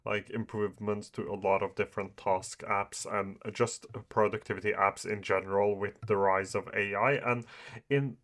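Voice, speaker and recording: A man's voice: on the low side, pushed and bright. A young man whose first language is Norwegian or Farsi gives a casual walkthrough of an app, filling his talk with "uh" and "like". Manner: many fillers, "uh" and "like", hesitant. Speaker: YouTuber reviewing apps. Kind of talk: casual screen-share walkthrough.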